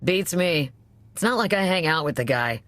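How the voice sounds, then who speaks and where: Unfazed female cantina owner for a game: low pitch, wry, nonchalant delivery, no room sound. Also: animated, normal speed, a bit bright, no room sound. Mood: sardonic. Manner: sardonic, nonchalant. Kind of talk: video game character acting.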